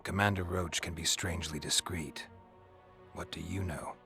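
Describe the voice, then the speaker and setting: World-weary fantasy game hunter, extremely low rumble, gravelly fry, terse, deadpan, guarded, music behind. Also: male voice, normal speed, heavily acted. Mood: probing, deadpan. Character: gruff, stoic, world-weary male monster hunter, dry and guarded. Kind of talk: video game character dialogue.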